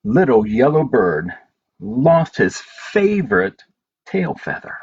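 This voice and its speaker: A man's voice: medium pitch, sing-song, slow, a little mellow, husky. A man hosting a children's storytime from home, speaking simply as he reads aloud and chats to young listeners. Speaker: library storytime host. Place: at home, indoors. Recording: dull home recording with background noise.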